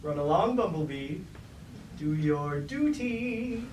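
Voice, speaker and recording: An actor playing a treacherous, boastful, fawning robot lieutenant, theatrical and florid in a staged reading of a tragedy. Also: male voice, fairly high pitch, animated, takes it slowly. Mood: smug. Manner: theatrical, florid, self-important. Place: indoor staged reading at a university. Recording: roomy, distant stage recording with light noise.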